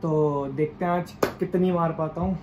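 Young guy vlogging on his phone, kinda high voice, chatty, faint noise behind. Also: steady tone, normal speed, muffled recording. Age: young adult. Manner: chatty, narrating his day to the camera. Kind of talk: casual daily vlog. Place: small room at home and outdoors. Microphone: phone camera at arm's length.

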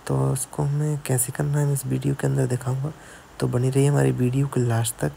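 A man's voice: mid-range voice, level tone, brisk, vocal fry, warm tone, a little hiss or hum. A man who repairs mobile phones, narrating a screen-recorded tutorial step by step and often checking in with the viewer. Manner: explains step by step, keeps adding "theek hai". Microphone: voice-over on a screen recording.

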